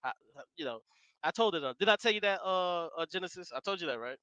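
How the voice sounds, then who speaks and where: A man hosting a casual online panel, talking bluntly and loudly, cutting in to hold the floor. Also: high voice, lively intonation, fast talker, clean tone, no echo, lo-fi sound. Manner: loud, blunt, profane, cuts in with repeated "hold on". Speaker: show host. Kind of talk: casual podcast chat.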